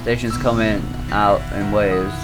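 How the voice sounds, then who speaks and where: A man's voice: fairly low pitch, fairly even intonation, a little slow, loud music behind the voice, low-quality recording. A man doing a casual game playthrough, reading lines aloud and tossing in blunt, sarcastic, sweary commentary. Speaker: gaming video host reading visual novels aloud.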